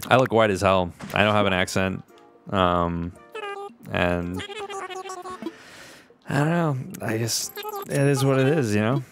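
A man from Puerto Rico with a low, gravelly voice, casually talking his way through a game for his viewers. He is laid-back and chatty, laughing easily at his desk mic at home. Soft game music plays quietly behind him. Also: unhurried, long pauses. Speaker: let's play host. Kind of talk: casual gaming commentary. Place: home gaming room, indoors. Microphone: desk microphone with webcam.

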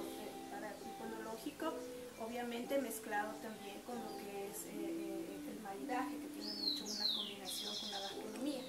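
A woman in her thirties with an Ecuadorian accent, answering informal interview questions as winery staff, hesitating as she searches for words. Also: mid-range voice. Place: covered brick patio at a winery. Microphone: camera a few metres away. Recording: echoey, distant camera mic, loud ambient noise.

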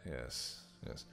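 A man playing a suave male vampire king, smooth, flirtatious and dryly amused, in an audio roleplay. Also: pushed and bright. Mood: scheming. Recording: long reverb with music behind.

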